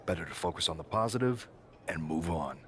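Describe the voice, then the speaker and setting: A man's voice: low voice, animated, brisk, fairly bright tone. A man playing a reassuring wrestling general manager who brushes off worries, in a video game cut-scene. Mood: placating. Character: smooth-talking, placating male wrestling general manager.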